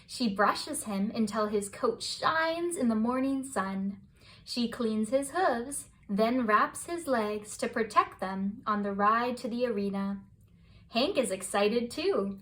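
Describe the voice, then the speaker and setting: A woman reading a children's picture book aloud as scripted bedtime narration, with expressive, deliberate phrasing. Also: a little high. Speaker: children's storybook reader.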